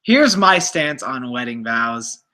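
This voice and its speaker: A man's voice: mid-range voice, big pitch swings, slow pace, bright, forceful tone. A man in his late twenties co-hosting a casual live Q&A, joking and poking fun at himself. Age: 20s. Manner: joking, self-deprecating. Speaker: co-host.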